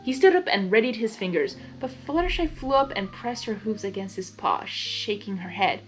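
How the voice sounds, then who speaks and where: A woman narrating fan fiction in a blind reading, expressive, with her own giggly asides. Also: medium pitch, moderate pace, soft background music, poor audio.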